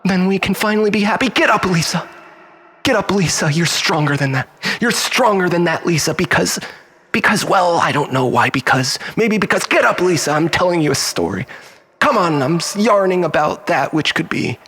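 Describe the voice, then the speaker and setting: Unhinged male monologuist played by a man: fairly high voice, self-loathing, rambling like a mock-philosophical preacher. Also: lively intonation, moderate pace, pushed and bright, husky, exaggerated voice. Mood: pleading. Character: unhinged, self-loathing male storyteller pleading with his lover.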